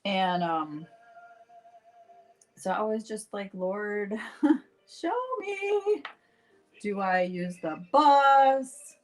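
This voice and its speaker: A middle-aged woman chatting casually to livestream viewers as she tells a personal story, acting out bits of dialogue in an animated, informal way. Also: medium pitch, extremely slow, long pauses. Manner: chatty, animated retelling with acted-out dialogue and frequent "like"; addresses viewers directly. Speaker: host painting live while telling stories.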